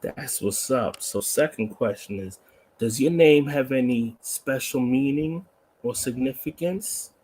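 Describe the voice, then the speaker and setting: A man's voice: medium pitch, unhurried, darkish tone, husky, low-quality recording. A man hosting a podcast, asking questions in an informal, slangy, chatty way. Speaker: podcast host, interviewer. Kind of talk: casual interview chat.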